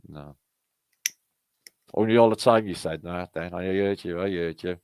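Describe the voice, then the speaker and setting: A man's voice: low-pitched, measured pace, a little mellow, smooth, no room sound. A middle-aged Welsh man hosting a casual livestream, rambling and swearing as he greets viewers in the chat. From Wales. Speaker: livestream host. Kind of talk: casual live-chat banter.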